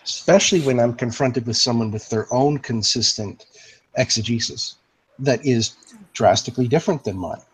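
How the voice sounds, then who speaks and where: A man's voice: mid-range voice, moderate pace, husky, low-quality recording. A man moderating an online voice-chat discussion, explaining in long philosophical sentences.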